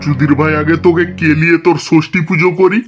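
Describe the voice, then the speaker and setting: Man putting on a gruff older father-in-law. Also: a little high, fairly even intonation, fast, faint music behind, lightly acted. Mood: angry, threatening. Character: gruff angry old father-in-law, threatening a beating.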